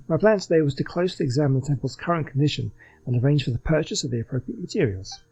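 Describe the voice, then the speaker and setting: A man's voice: fairly high pitch, lively intonation, quick pace, creaky, a little mellow, slightly rough, lo-fi sound, exaggerated voice. A man voicing an earnest, polite reformed swindler from a video game, reading the dialogue in a wordy, scholarly way.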